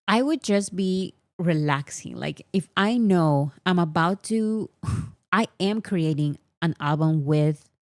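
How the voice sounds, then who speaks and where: A woman's voice: deep voice, a little mellow, no room sound. A woman whose first language is Spanish, chatting casually to camera as a fan vlogger, mock-serious and laughing often. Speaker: K-pop vlogger.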